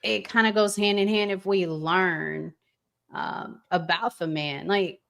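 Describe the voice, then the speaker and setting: A woman hosting a casual discussion, deliberate, pausing mid-thought and posing rhetorical questions. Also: fairly low pitch, fairly even intonation, vocal fry, a bit bright. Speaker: talk show host.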